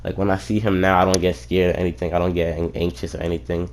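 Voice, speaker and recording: Deep-voiced young man telling a rambling story. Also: little pitch movement, quick pace, a little hiss or hum. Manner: rambling, swears freely, frequent "what you call it" fillers. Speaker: streamer. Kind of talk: casual storytelling.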